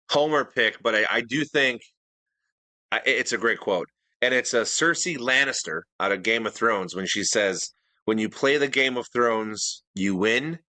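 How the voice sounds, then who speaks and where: Bright-voiced man, defending his list. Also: medium pitch, dry recording. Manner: defensive, rambling, many restarts. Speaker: podcast co-host. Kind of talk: casual debate chat.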